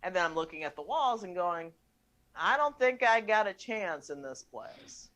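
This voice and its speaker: Woman comedy host, 50s, sharp bright voice, sweary and teasing, just riffing. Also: on the low side, lively intonation, moderate pace. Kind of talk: casual comic banter.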